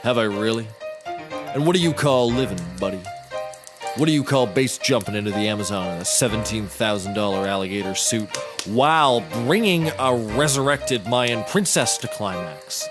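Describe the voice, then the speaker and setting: An actor playing a boastful, washed-up international secret agent in a scripted comedy, talking in a bombastic, grandiose way. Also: male voice, fairly low pitch, normal speed, over music, natural voice.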